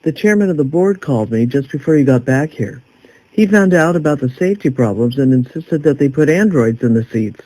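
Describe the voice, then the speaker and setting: A man playing a composed, pragmatic newspaper boss with a measured delivery in an audio drama. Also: medium pitch, expressive, warm tone, natural voice, low-quality recording. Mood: composed, dry.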